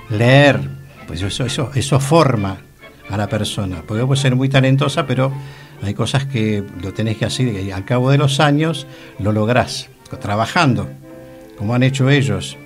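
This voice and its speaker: A man with a Rioplatense Argentine accent, chatting casually as a radio co-host in a studio. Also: mid-range voice. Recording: music playing behind the voice. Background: music.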